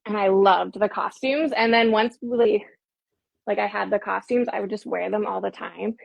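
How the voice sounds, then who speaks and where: A woman's voice: medium pitch, steady tone, moderate pace, vocal fry, no room sound. A woman from the United States hosting a casual chat, talking in a chatty way full of fillers and giggles. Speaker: host. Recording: dull, dry lo-fi audio.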